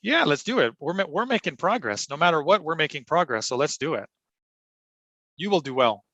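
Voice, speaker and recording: Bright-voiced upbeat male coach. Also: a little high, very animated, dry recording, highs cut off. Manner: upbeat and encouraging, clear deliberate delivery. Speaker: TOEFL speaking coach. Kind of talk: livestream practice session, thinking aloud and modeling exam answers.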